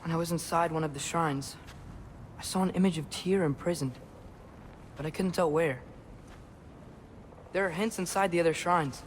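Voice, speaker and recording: A slightly high, youthful voice of an eager, inquisitive teenage son played by a young male actor in a video game. He is earnest and explanatory, with faint noise behind him. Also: normal speed, long pauses, natural voice. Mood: earnest, curious. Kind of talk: video game cinematic acting.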